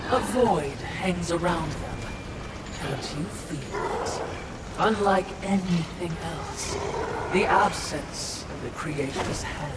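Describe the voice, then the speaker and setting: Sly, slow-talking spirit servant, distant-sounding. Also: female voice, lowish voice, very noisy. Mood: musing, ominous. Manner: sardonic, insinuating, mock-deferential. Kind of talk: video game cutscene dialogue.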